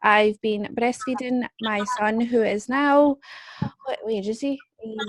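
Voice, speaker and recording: A woman's voice: on the high side, fairly even intonation, unhurried, no echo. A woman, hosting a casual group chat with other young mothers and talking emphatically. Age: 20s. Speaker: host.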